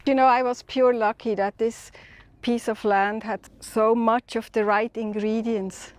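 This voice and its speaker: A woman's voice: a little high, little pitch movement. A middle-aged woman from Switzerland, a stud farmer, speaking thoughtfully and unhurriedly outdoors on her farm. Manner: thoughtful, unhurried, with small restarts. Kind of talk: documentary interview.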